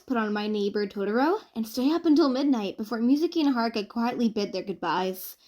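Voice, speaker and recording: High-voiced woman narrating an audio story. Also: a little mellow. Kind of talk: expressive fan fiction audiobook narration.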